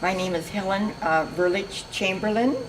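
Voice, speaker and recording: A woman's voice: medium pitch, steady tone, normal speed, a little hiss or hum, poor audio. An older woman from Seattle being interviewed, reminiscing in a chatty, meandering way with frequent restarts. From Seattle, USA.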